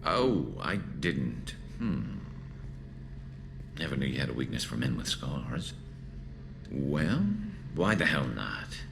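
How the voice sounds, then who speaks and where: A man voicing an awkward, earnest alien soldier in a video game, gravelly, hesitant and dryly wry. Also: rumbling low, very animated, slow, pauses often, background noise, exaggerated voice. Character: awkward, earnest male alien soldier, battle-scarred and loyal.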